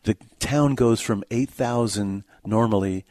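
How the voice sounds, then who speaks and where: A man's voice: lowish voice, a little slow, darkish tone. A man co-hosting a radio show in casual, joking banter with a wry, playful manner. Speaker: radio movie show co-host.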